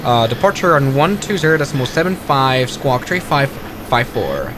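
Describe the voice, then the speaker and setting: A man's voice: medium pitch, animated, moderate pace, noisy background. A man casually talking through a flight simulator stream, narrating each step aloud and correcting himself as he goes. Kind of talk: casual live-stream commentary.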